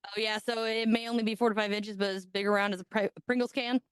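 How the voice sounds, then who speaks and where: A woman's voice: mid-range voice, level tone, fast talker, clean tone, dry recording, studio quality. A woman co-hosting a podcast, telling a story in casual chat and cracking crude jokes with a laugh. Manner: bawdy, joking, laughs often. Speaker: podcast co-host.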